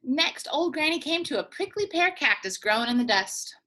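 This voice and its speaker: A woman teacher reading a picture book aloud to young children, expressive and full of playful asides. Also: sits high, moderate pace. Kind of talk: children's storytime read-aloud.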